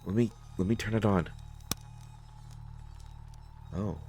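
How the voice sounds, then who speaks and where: A man playing a nervous, awkward, well-meaning character in a sci-fi audio drama, speaking haltingly with many stammers. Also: on the low side, mellow, husky, full character voice. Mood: startled, excited. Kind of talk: solo scripted audio drama, conversational acting.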